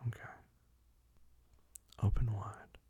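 A man playing a dominant, teasing lover in an intimate audio roleplay, murmuring in a breathy, husky voice that often drops to a whisper. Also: highs cut off, close to an everyday voice. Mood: firm, controlled. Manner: slow, murmured, commanding, with soft hums.

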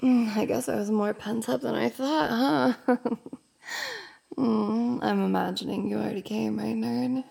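A woman playing a teasing, dominant young roommate in an intimate audio roleplay, speaking slowly and often in a whisper. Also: on the high side, steady tone, mellow, exaggerated voice. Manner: slow, husky, often whispering.

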